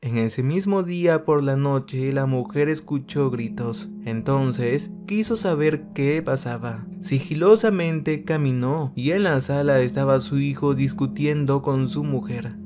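A man narrates a dramatic family tale in a calm, level reading voice. His pitch sits right in the middle for a man. Faint guitar music runs quietly beneath his words. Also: unhurried, hardly any pauses. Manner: even, steady reading that speaks every character's lines in the same voice. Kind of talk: scripted storytelling narration. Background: soft guitar music.

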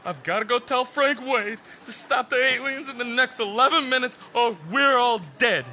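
An actress playing a harried, exasperated deputy mayor in a comedy radio play, answering in flustered, impatient bursts. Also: female voice, a little high, moderate pace, pushed and bright, a little hiss or hum.

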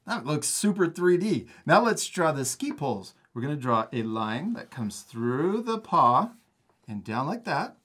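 Lively man teaching a drawing lesson. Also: a little high, a little slow, slightly rough. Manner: encouraging, casual. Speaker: art teacher and host. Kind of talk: step-by-step drawing lesson for children.

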